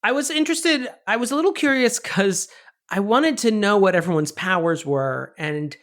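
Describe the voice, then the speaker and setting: An animated podcast host chats casually about pop culture. The pitch swings around freely, and mock quotes get acted out in the middle of comic riffs. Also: normal speed. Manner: acts out mock quotes while riffing.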